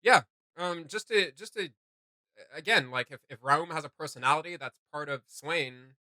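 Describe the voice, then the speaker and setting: Bright, higher-voiced man gushing in slang. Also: normal speed, no room sound. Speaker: reaction video host. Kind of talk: casual excited reaction commentary.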